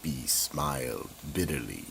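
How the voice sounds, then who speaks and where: A man hosting and narrating a horror radio drama in a slow, ominous, dramatic way. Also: very deep voice, big pitch swings, vocal fry, a bit bright, a little hiss or hum. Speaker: horror anthology host and narrator.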